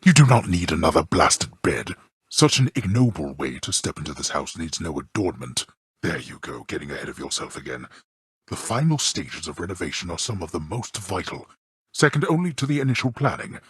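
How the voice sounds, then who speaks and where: A haughty god-king of the underworld for a video game, with a very deep and heavily rasping voice. His speech is formal and dryly contemptuous, swinging widely in pitch as he passes judgment like a stern father. The sound is close and dry. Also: very animated, brisk, no echo, exaggerated voice. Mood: scornful, irritated. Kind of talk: theatrical video game character dialogue.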